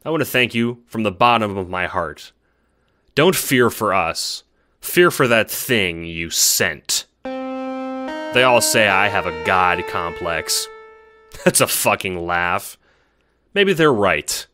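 A man's voice: fairly low pitch, animated, slow pace, pauses often, bright, forceful tone, soft background music, full character voice. A man playing a cocky, bitter young alien troll girl in a dramatic, boastful first-person monologue. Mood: defiant, gloating. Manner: boastful, theatrical, scornful.

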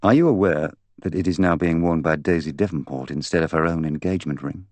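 Man reading as a very deep-voiced, probing inspector. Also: darkish tone, no echo. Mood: polite, probing. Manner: measured questioning. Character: polite, probing male police inspector. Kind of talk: audiobook character dialogue.

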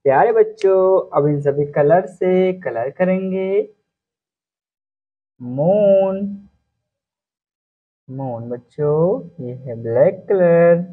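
A man's voice: a little high, lively intonation, drawn-out words, pauses often. A man presenting a simple lesson to young children, repeating names in an instructional way. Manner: repetitive, instructional, addressing children. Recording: muffled, narrow phone-like sound.

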